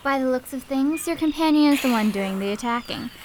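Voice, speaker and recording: An actress playing a bold, shrewd young warrior woman in a radio play, confident and brisk in manner. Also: high-pitched, lively intonation, slight background noise, low-quality recording. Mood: shrewd, cool. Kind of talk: theatrical radio drama acting.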